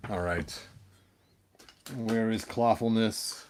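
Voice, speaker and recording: A man's voice: fairly low pitch, fairly even intonation, takes it slowly, a little mellow, faint music behind. A man chatting casually and playfully as he hosts a hobby video, often muttering to himself as he handles toys. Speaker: toy collector hosting a story-time video. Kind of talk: casual chat while handling action figures.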